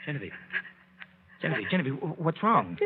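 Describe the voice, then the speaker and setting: An actor playing an anxious, evasive husband in a 1940s radio drama, with urgent, stammering, melodramatic delivery. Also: male voice, a little high, big pitch swings, fairly quick, husky. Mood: alarmed. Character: anxious, evasive husband pleading with his lover. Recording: scratchy, tinny 1940s radio recording.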